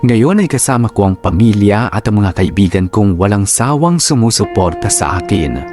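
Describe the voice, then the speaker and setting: Low-voiced man reading a sentimental letter. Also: animated, normal speed, darkish tone. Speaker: radio drama narrator reading a letter. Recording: clear music bed behind.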